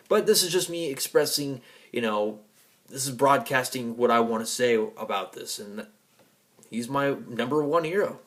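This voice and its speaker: A man giving a rambling personal monologue to an audience in an emphatic, defiant, profanity-laced way. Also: mid-range voice. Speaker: vlogger and music fan.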